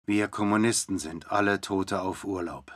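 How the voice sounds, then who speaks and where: A man's voice: deep voice, normal speed, very noisy, close to an everyday voice. A man acting in a scripted radio drama. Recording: clear music under the voice. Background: music.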